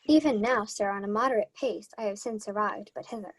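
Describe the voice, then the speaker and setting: A young woman playing an earnest, slightly halting heroine disguised as a man in a Shakespeare radio play. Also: mid-range voice, natural voice, low-quality recording. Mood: puzzled, defensive. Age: young adult.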